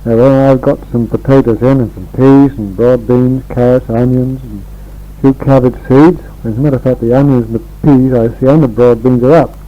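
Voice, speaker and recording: A man's voice: medium pitch, steady tone, normal speed. An English man in his sixties rambling in a homely way as he records a casual spoken letter to family on an old home tape. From England. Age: 60s. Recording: dull 1960s home reel-to-reel tape.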